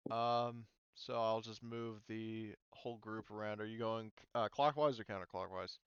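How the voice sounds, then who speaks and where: Low-voiced man running a tabletop game, dull recording. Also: steady tone, moderate pace, clean tone, dry recording. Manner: informal, thinking aloud. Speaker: game master. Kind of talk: casual online tabletop game narration.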